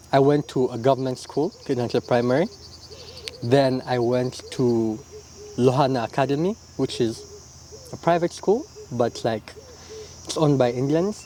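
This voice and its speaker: Young man, mellow voice. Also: medium pitch, slight background noise. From Uganda. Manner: relaxed, reflective, many "yeah?" and "you know?" tags. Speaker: interview guest, illustrator. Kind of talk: casual interview answers.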